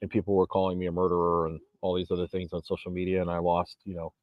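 Man, late forties, low voice, emphatic, rambling, restarts, muffled dry recording. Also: monotone, fast, dry recording. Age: 40s. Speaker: vlogger and gym owner. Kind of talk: casual monologue to camera. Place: outdoors on a beach.

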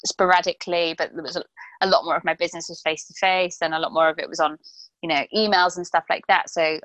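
A woman's voice: lowish voice, steady tone, quick pace, a bit bright, dry recording, low-quality recording. Woman hosting a chatty, close-miked interview. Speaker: podcast host interviewing a guest. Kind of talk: casual interview chat.

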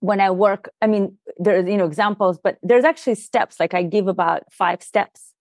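A woman's voice: mid-range voice, moderate pace, darkish tone, no echo, studio quality. Earnest Russian-native woman coaching on talk. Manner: earnest, explanatory, lots of "you know" and "like". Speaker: podcast guest, leadership coach. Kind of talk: conversational podcast interview.

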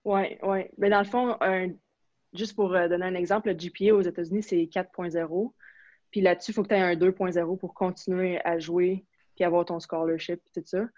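A young woman from Quebec with a Quebec French accent, chatting casually as an interview guest, relaxed and full of fillers and restarts. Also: fairly low pitch, brisk, no room sound. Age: young adult. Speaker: interview guest, university hockey player. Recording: slightly dull, lo-fi sound.

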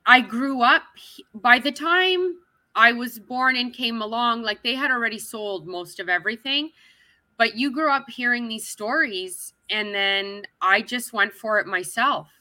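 A woman's voice: a little high, unhurried, bright, forceful tone, dry recording. A woman from Canada, talking as an interview guest in a chatty, upbeat way. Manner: chatty and upbeat, with frequent restarts and laughs. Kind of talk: casual interview chat.

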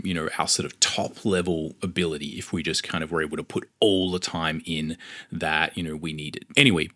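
An Australian man in his forties hosts a solo podcast, talking casually in a very low voice. He muses and meanders, full of restarts and small fillers. The recording is dry and close, with no room sound. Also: fairly bright tone, dry recording. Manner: reflective and rambling, with many fillers and restarts. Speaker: podcast host.

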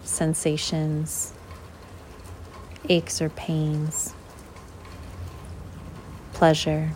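A woman leading a guided visualization in an unhurried, measured way, leaving long pauses between short phrases. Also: deep voice, completely flat delivery, darkish tone, a little hiss or hum. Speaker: guided meditation host.

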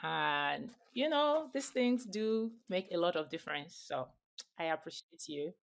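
A woman's voice: on the low side, expressive, unhurried, gravelly. A woman hosting an interview podcast, upbeat and enthusiastic, with emphatic swells as she greets her guest. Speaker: podcast host.

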